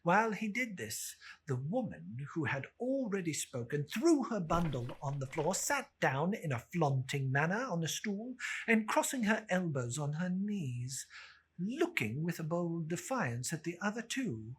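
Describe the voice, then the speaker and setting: Slow, husky woman storyteller. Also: on the low side, sing-song. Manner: theatrical, deliberate emphasis. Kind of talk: dramatic literary narration.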